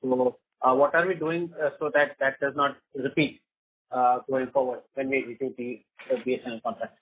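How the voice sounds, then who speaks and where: A man's voice: mid-range voice, brisk, a little hiss or hum. A man asking questions as an analyst on an earnings call, hesitant and repeating his words. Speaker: caller, financial analyst. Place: conference phone call. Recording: flat, dry narrow phone line.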